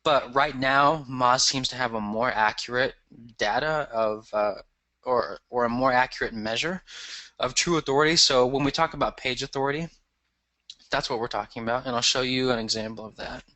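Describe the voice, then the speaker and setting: A man's voice: medium pitch, moderate pace, bright, forceful tone, no echo. A man with a cold giving a casual webinar lesson over slides, explaining terms with fillers and restarts. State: has a cold. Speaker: guest expert teaching a webinar.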